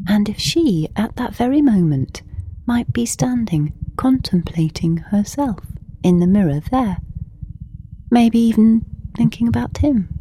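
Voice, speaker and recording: A woman narrating a scripted story in an intimate, hushed and measured manner, pausing between short phrases. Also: medium pitch, slight background noise. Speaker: narrator of an audio drama.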